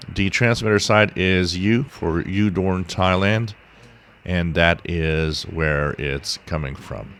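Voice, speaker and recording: A man with a very low-pitched voice talks listeners through an informal tutorial. His delivery is instructive but halting and rambling, recorded as a voice-over with a faint hiss underneath. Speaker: shortwave radio hobbyist, tutorial host. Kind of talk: informal explanatory screen-share tutorial. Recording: steady hiss behind the voice.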